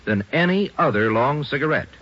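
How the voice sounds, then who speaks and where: A man's voice: mid-range voice, animated, moderate pace. A man with an American accent giving an emphatic sales read as a 1940s radio commercial announcer. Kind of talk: 1940s radio cigarette advertisement. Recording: muffled 1940s radio recording.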